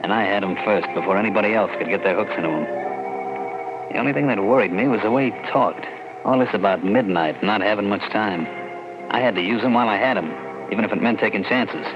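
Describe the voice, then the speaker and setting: A man's voice: lowish voice, fast, music in the background, close to an everyday voice. A man playing a greedy, brash small-time gambler and crook in an old-time radio thriller, with slangy, pushy talk. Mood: hard-boiled recounting. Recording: muffled, noisy vintage radio broadcast.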